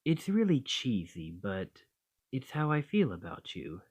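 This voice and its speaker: A man voicing a gentle, bashful dragon in a romantic ASMR roleplay, tender and hesitant, doting on a partner. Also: medium pitch, wildly swooping pitch, unhurried, slightly rough, dull audio, exaggerated voice. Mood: tender, smitten. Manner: flustered, hesitant, tender, with sighs and stammers.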